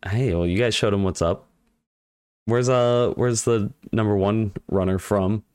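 A man hosting a casual interview, chatty and upbeat with quick reactions. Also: on the low side, fairly even intonation, a little mellow, clean tone, dry recording, clean studio sound. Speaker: podcast host.

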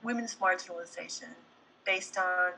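A woman speaking conversationally as an interview guest, in a medium-pitched voice. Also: moderate pace, gravelly, bright, forceful tone, slight background noise. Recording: distant mic under guitar music. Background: plucked guitar music.

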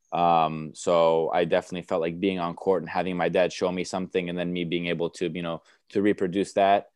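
Low, flat-voiced young man with restarts. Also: smooth, no room sound, studio quality. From United States. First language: Romanian. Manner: relaxed, many fillers and restarts. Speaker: tennis player guest. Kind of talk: interview answers.

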